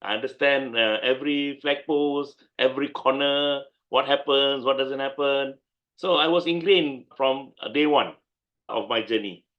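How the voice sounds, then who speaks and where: A man's voice: mid-range voice, fairly even intonation, unhurried, dull audio. A middle-aged man with a Malaysian English accent, answering interview questions in an emphatic, conversational way and often repeating his points. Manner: emphatic, repeats points, laughs while recalling. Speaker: guest lawyer.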